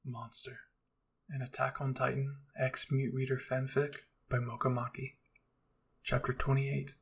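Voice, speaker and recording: Gravelly, even-toned male storyteller. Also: mid-range voice, a little slow. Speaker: narrator reading fan fiction. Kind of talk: scripted audiobook-style narration.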